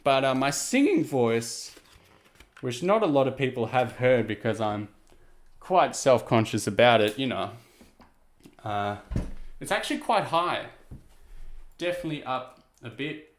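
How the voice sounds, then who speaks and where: A man with a low speaking voice chatting casually and self-deprecatingly to his viewers, rambling with lots of fillers. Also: lively intonation, measured pace, pauses often, echoey room. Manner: easygoing, rambling, self-deprecating, many "you know" and "uh" fillers. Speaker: VTuber streamer. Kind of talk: casual chat to stream viewers while restringing a guitar.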